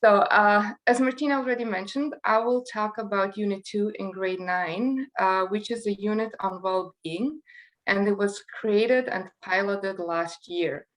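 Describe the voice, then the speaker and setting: Native Croatian speaker, woman teacher presenting, mid-range voice, friendly and halting. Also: mid-range voice, low-quality recording. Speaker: German and English teacher. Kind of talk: workshop presentation.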